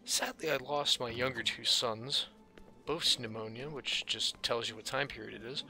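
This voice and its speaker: A man's voice: mid-range voice, a little slow, pauses often, sharp tone. A man giving casual gameplay commentary in a dry, offhand way, musing aloud in a slightly scratchy voice. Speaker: gaming video host. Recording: music under the voice.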